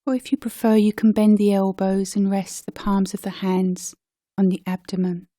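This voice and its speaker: A woman leading a guided meditation as a teacher, speaking gently and unhurriedly with pauses between short phrases. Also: medium pitch, flat delivery, no echo, studio quality.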